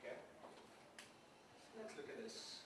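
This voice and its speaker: A male guest professor giving a lecture to students, asking them questions and repeating his key words. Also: takes it slowly. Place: large echoey hall. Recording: echoey hall, distant mic, faint noise.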